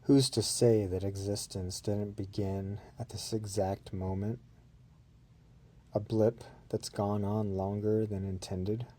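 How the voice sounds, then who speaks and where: A man's voice: lowish voice, level tone, slow, dark tone. A man reading his own poem in an understated, matter-of-fact way. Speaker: poet.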